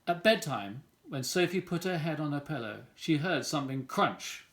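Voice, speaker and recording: A man's voice: fairly high pitch, expressive, normal speed, fairly bright tone. A man reading a children's picture book aloud as a storyteller. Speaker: volunteer story reader.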